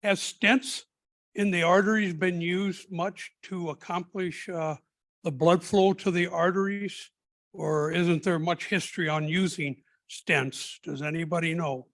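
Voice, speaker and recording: Higher-voiced man asking a question. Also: steady tone, a little slow, fairly bright tone. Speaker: audience member asking a question. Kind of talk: question from the floor.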